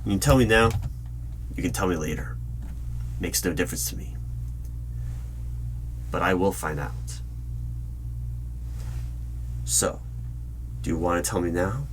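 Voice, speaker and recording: A man playing a stern, suspicious hiring manager at a secret bureau, questioning deliberately and insistently in a loud-spoken ASMR interview roleplay. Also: deep voice. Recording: clear hum, computer keyboard typing noise. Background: keyboard typing.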